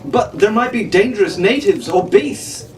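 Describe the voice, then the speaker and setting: A proud, gallant young gentleman sailor with formal, condescending manners, acted by a man reading aloud. The storybook figure sounds set back from the mic in an echoey room. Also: high voice, expressive, normal speed, faint music behind, pitched above the performer's own voice. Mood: protesting. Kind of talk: audiobook character voice. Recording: roomy, noisy, distant microphone.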